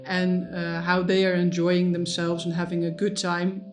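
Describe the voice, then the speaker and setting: A woman counselor giving measured advice in an interview. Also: lowish voice, monotone, soft background music. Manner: measured, explanatory. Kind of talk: advisory interview.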